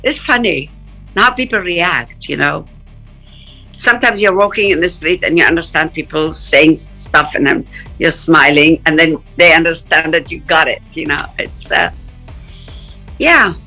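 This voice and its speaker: A middle-aged woman from Palestine telling stories in an interview, animated and often laughing. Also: sits low, unhurried, faint music behind, poor audio. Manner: animated, acts out quoted voices, laughs often. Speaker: interview guest. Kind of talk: casual storytelling in an interview.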